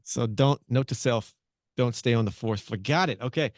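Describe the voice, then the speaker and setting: A man hosting a radio interview, chatty and animated as he asks his guest questions. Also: mid-range voice, moderate pace, no echo, studio quality. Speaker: radio host. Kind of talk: casual interview chat. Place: radio studio.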